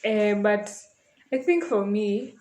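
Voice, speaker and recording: A woman's voice: mid-range voice, takes it slowly, a little mellow, a little hiss or hum. A woman of about twenty with a Kenyan accent, talking casually as a podcast panelist. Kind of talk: casual group chat. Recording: echoey room.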